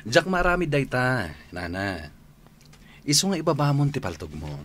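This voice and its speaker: A man's voice: medium pitch, sing-song, moderate pace, fairly bright tone, slight background noise. A man playing a repentant suitor pleading for forgiveness in an Ilocano radio drama, speaking earnestly with an Ilocano accent. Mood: appeasing. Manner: earnest, imploring. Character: repentant, pleading male suitor seeking forgiveness from wary woman.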